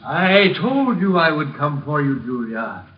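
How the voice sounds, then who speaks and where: An actor playing the solemn, menacing ghost of a dead husband in an old radio drama. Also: male voice, on the high side, big pitch swings. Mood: eerie, commanding. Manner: slow, solemn, drawn-out. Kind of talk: 1950s radio drama acting. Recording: dull, faintly noisy old radio recording.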